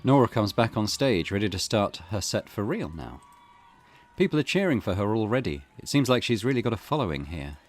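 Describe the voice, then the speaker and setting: A man's voice: on the low side, very animated, a little fast. A man hosting a let's play, reading visual novel text aloud expressively with casual comments. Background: loud game music.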